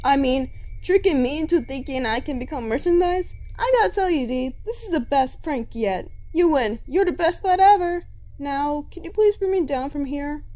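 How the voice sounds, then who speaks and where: A woman's voice: high-pitched, lively intonation, moderate pace, dark tone, voice pushed up. A woman voicing a frightened, trusting male robot captive in a dramatic story reading, pleading and stammering. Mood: nervous joking. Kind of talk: amateur dramatic fan fiction reading.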